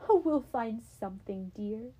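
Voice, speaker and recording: A woman's voice: high voice, animated, measured pace, mellow, poor audio. A woman playing a worried loving mother. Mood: tender.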